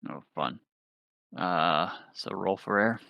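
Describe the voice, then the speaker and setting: A man's voice: deep voice, slow, gravelly, bright, forceful tone, no room sound. A man playing a tabletop roleplaying game with casual, blunt, sarcastic delivery.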